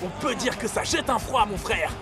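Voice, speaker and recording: A man's voice: high-pitched, lively intonation, moderate pace, loud music behind the voice. An actor voicing a cocky, teasing young male footballer in a cartoon. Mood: wry. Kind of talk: animated cartoon dialogue.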